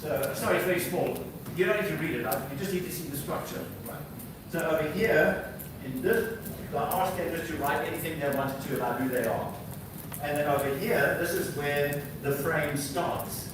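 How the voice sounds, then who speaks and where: A man's voice: on the high side, moderate pace. A man who is a visiting professor giving a university lecture, explaining slides step by step with frequent fillers. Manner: explaining slides, reads prompts aloud, many fillers. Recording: very echoey hall, distant mic, background noise.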